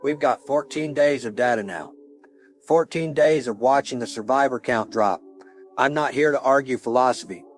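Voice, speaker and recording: A man's voice: mid-range voice, moderate pace, faint music behind, lightly acted. A man playing a resolute military colonel in an audio drama, speaking in a clipped, blunt, authoritative way. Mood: firm, grim. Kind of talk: audio drama radio dialogue.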